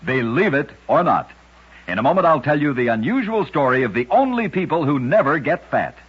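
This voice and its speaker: A man tells scripted curiosities as an old-time radio narrator. His voice is husky and rises and falls in lively, dramatic, measured phrases. The sound is that of a dull, aged radio recording. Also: on the high side.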